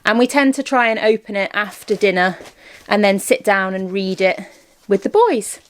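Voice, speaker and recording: A woman's voice: mid-range voice, expressive, moderate pace. A British woman chatting informally to viewers in a casual vlog, thinking aloud as she shows things. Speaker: vlogger.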